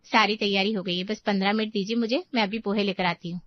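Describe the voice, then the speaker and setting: A woman's voice: medium pitch, steady tone, quick pace, no echo, highs cut off, lightly acted. A woman voicing a competitive, self-righteous daughter-in-law in a dramatised family story. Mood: eager.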